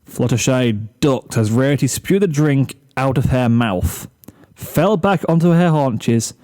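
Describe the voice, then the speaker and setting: A man's voice: medium pitch, animated, a little slow, darkish tone. A man reading a comic story aloud as narrator, in scripted narration.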